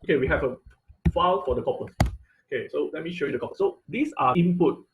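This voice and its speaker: A man giving an informal live technical lesson as an instructor, speaking haltingly, repeating phrases and often checking in with "okay" and "right". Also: a little high, vocal fry, phone-quality sound, noisy background. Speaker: online class instructor.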